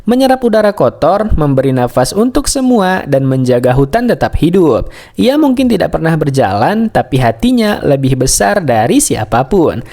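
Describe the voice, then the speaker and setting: A man's voice: on the high side, big pitch swings, moderate pace, warm tone. A man narrating a scripted bedtime story for children.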